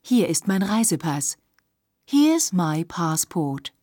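A woman reading phrases for a language course, slowly and carefully, recorded close to the mic. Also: lowish voice, sing-song, warm tone, dry recording. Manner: slow, careful, clearly articulated phrases.

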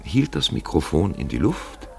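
A man narrating a literary radio feature in an emphatic, wry storytelling manner, with a gravelly voice. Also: low voice, huge pitch swings, unhurried, noisy background. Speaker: narrator and presenter. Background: music.

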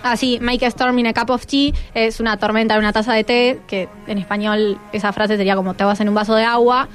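A woman's voice: a little high, fairly even intonation, a little fast, soft background music. A teenage female student with an Argentine accent, explaining informally and a little hesitantly as a radio guest, thinking aloud. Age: teens.

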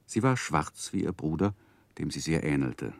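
An actor playing a grown man who looks back on his childhood, narrating a 1960s German radio drama in a measured, reflective way. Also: extremely low pitch, very animated, dry recording, heavily acted.

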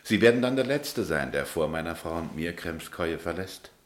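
An actor playing an old, dignified, melancholy governor, kindly yet authoritative, speaking in formal, deliberate radio drama style. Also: male voice, low-pitched, lively intonation, roomy sound. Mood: wistful, courteous. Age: older. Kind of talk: German radio play acting.

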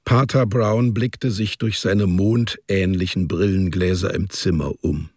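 A man reads scripted narration for a radio play. His voice is deep and rough-edged, in a close, dry sound. The storytelling is measured and understated. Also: fairly even intonation, a little mellow, dry recording.

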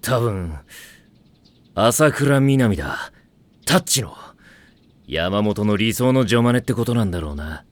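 A man's voice: on the low side, animated, measured pace, pauses often, dry recording. A man playing a wry, teasing teenage team captain in an anime audio drama.

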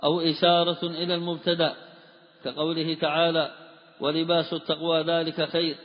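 A man reading a grammar commentary aloud to his teacher in a steady chanting cadence. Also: a little high, brisk. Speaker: student reading the text aloud. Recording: dull lo-fi recording with long hall reverb.

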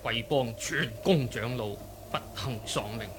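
A man's voice: medium pitch, measured pace, lightly acted. A man with a Cantonese accent playing a haughty, stern Shaolin monk, formal and disdainful, in a martial arts radio drama. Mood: cold, formal. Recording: clear background noise.

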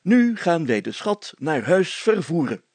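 A man's voice: on the high side, sing-song, unhurried, darkish tone, no echo, exaggerated voice. A man voicing a pompous, fussy village mayor in an audiobook, speaking formally and stumbling into self-corrections. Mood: solemn, commanding.

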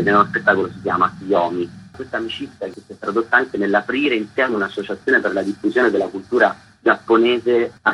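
A man's voice: on the low side, highs cut off, a little hiss or hum. A man being interviewed on radio as a theatre author, explaining his work in an articulate way.

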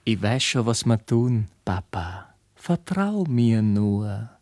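An actor playing an earnest, dutiful young student son in a radio play. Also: male voice, on the low side, big pitch swings, takes it slowly, a little mellow, no room sound, clean studio sound. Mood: confiding. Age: young adult.

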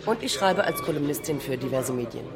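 A combative, irritable female blogger , voiced by an actress, speaks in interview style. Her pitch is lowish but swings widely. Crowd noise is audible behind her. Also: sing-song, fairly quick. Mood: self-assured, defiant. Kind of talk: translated interview voice-over.

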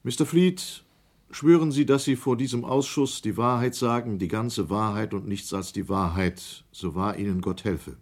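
Husky, formal committee chairman. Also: lowish voice, moderate pace. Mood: formal, brisk. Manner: official, crisp wording, courteous. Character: formal, courteous male committee chairman examining witnesses. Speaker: committee examiner. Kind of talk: German documentary radio drama, official procedure.

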